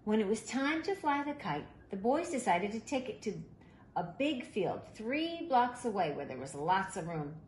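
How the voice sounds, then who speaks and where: A woman reading a children's picture book aloud in an expressive, animated way, with deliberate emphasis. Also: medium pitch. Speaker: storybook reader. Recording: roomy echo, faint background noise.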